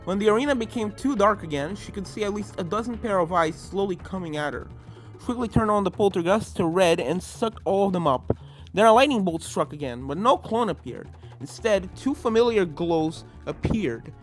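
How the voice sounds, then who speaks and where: A storyteller reading fan fiction aloud in a dramatic scripted narration style, at a medium pitch. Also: animated, moderate pace. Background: video game music.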